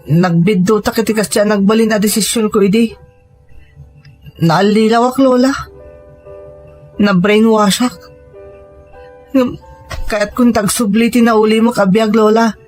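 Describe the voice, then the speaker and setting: An actor playing a remorseful, frightened grandchild in an audio drama, pleading in halting phrases. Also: mid-range voice, steady tone, darkish tone, faint music behind, lightly acted. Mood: regretful. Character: remorseful, frightened grandchild who fled an armed group.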